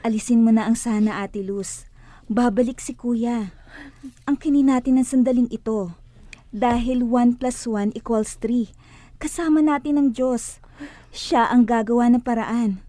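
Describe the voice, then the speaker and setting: A woman's voice: on the high side, a little slow, long pauses, dark tone, no room sound, close to an everyday voice. An actress playing a devout, hopeful woman who speaks earnestly and reassuringly in a religious radio drama. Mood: earnest, comforting.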